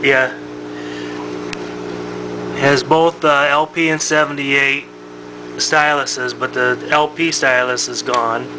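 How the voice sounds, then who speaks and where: A man giving a casual, unscripted demonstration as a hobbyist, talking in a halting, matter-of-fact way. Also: medium pitch. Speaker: hobbyist showing his vintage radio-phonograph. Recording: noisy home recording with steady hum.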